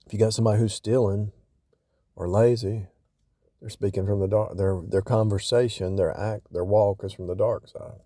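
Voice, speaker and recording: A man's voice: fairly low pitch, steady tone, moderate pace, mellow, a little hiss or hum. A man giving casual, off-the-cuff spiritual teaching in a rambling way, repeating and rephrasing himself for emphasis. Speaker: religious vlogger.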